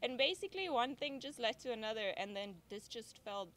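Brief: young Venezuelan woman, lively storyteller, faint outdoor hum. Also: on the high side, fairly quick. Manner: chatty, laughs often, acts out her own past lines. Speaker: safari guide guest. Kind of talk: casual interview chat. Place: outdoors at a campfire circle in the bush.

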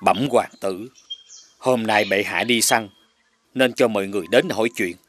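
A man playing a loyal, conscientious royal guard in an animated fairy tale, deferential and solemn. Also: lowish voice, normal speed, slight background noise, close to an everyday voice. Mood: deferential, uneasy.

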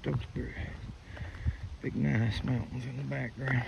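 A man's voice: mid-range voice, steady tone, a little slow, vocal fry, muffled recording. A man, rambling folksy to viewers as he walks outdoors and films on his phone. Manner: rambling, folksy, talks to viewers while walking. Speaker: vlogger. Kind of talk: casual walking vlog. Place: rural roadside outdoors. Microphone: phone camera held while walking. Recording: outdoor phone audio with rural noise.

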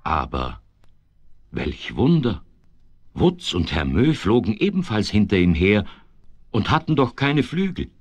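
A man narrating a 1970s children's radio play in a measured, expressive way that builds suspense. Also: lowish voice, husky, dry recording, exaggerated voice. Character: male narrator of a whimsical children's tale.

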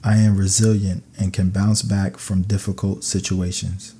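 A man narrating scripted sleep meditation affirmations in a calm, soothing, measured way. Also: low-pitched, slight background noise.

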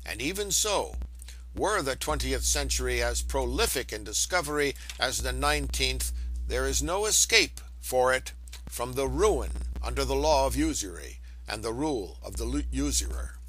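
A man's voice: medium pitch, lively intonation, moderate pace, a bit bright, rough recording. Man reading aloud, faint hum behind. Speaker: reader of an audiobook lecture. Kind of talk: read-aloud economics lecture with emphasis. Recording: home recording with faint background hum.

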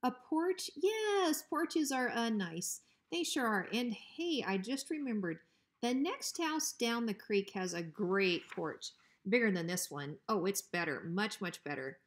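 A woman's voice: on the high side, sing-song, unhurried. A woman plays an officious storybook guard dog. He is bossy and full of bluster, yet a coward underneath. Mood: nervous flattery. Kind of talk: children's storybook reading.

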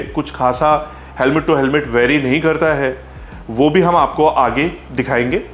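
A man in his thirties with an Indian accent presents a product review to viewers, explaining directly and checking in with short tags. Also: a little high. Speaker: host, motorcycle gear store vlogger. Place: small helmet shop, indoors. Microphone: clip-on lapel mic. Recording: roomy echo, clear background noise.

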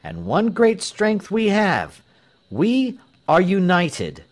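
A man's voice: sits high, huge pitch swings, takes it slowly, pitched above the performer's own voice, exaggerated voice. A man voicing a bold, resolute freedom-fighting leader in a storybook reading, speaking in a rousing, declamatory way. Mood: defiant, rousing.

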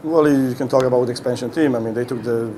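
A middle-aged man, a head coach, answering questions at a post-game press conference, speaking haltingly with many restarts as he searches for words. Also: medium pitch, steady tone, a crowd in the background. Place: indoor press room. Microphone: podium mic on a stand.

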